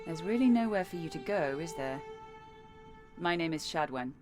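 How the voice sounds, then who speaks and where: A woman's voice: low voice, expressive, moderate pace, music in the background. A woman playing a cold, composed assassin in a video game, speaking in a terse, understated, controlled way. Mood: cool, controlled. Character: cold, composed female assassin on a deadly quest. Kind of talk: video game character acting.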